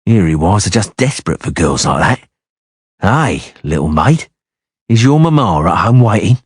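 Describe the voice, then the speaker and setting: Man voicing a sinister assassin, slow drawl, deep husky voice, dry. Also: sing-song, no echo. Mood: menacing. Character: cold menacing male assassin, slow drawling.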